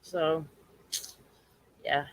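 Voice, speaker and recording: A woman with a hoarse voice from allergies, talking casually and haltingly to her viewers on a home live stream. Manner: halting, repetitive, earnest.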